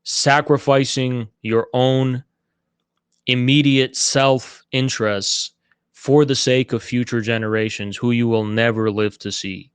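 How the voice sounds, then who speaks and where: A man's voice: medium pitch, smooth, no room sound. A man speaking in a live online political debate, arguing emphatically and repeating himself, with some swearing. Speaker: debater leading a political group. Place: live online audio chat room.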